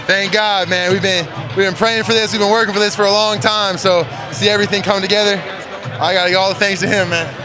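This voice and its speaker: A young man, a college basketball player, giving emotional, halting answers in a postgame interview with lots of fillers. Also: high-pitched, steady tone. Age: young adult. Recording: noisy celebration crowd and music behind.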